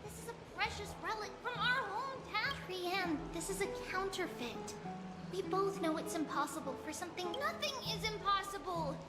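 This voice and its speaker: An actress voicing a small, earnest, excitable little girl in a video game, with childlike delivery. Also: extremely high pitch, moderate pace, echoey room, in a large hall, loud music behind the voice. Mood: earnest, fretful. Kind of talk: game dialogue.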